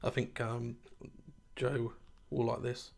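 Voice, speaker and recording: A man's voice: mid-range voice, completely flat delivery, slow, gravelly, a little mellow. A man in his thirties chatting casually to camera in a kitchen at home, rambling a little and laughing at himself as he reviews a beer.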